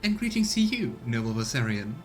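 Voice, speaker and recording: A man's voice: mid-range voice, sing-song, brisk, soft background music, lightly acted, poor audio. A man voicing a gracious noblewoman governor who speaks in a formal, ceremonious way, for a game read-along. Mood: courtly, gracious.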